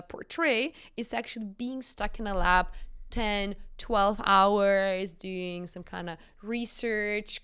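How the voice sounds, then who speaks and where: Young woman w lithuanian accent, really smooth clear voice, chatty and emphatic, interview guest telling stories. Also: medium pitch, a bit bright. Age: 20s. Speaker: entrepreneur guest. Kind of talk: conversational interview storytelling.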